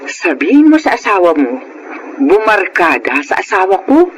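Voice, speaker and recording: A woman's voice: medium pitch, very animated, moderate pace, highs cut off, background noise, close to an everyday voice. A woman with a Filipino accent playing a smug, boastful wife in a dramatic audio play. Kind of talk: dramatic radio-style acting.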